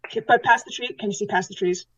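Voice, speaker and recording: A woman's voice: medium pitch, very fast, husky, muffled recording, lightly acted. A woman playing a rambling, insistent survivor on a dinosaur island in a tabletop roleplaying game, repeating herself as she tells stories. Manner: repetitive, rambling storyteller.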